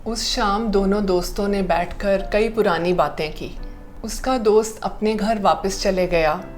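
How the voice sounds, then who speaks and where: A woman's voice: mid-range voice, a little slow, faint music behind. A woman with an Indian accent narrating an inspirational story in a conversational style.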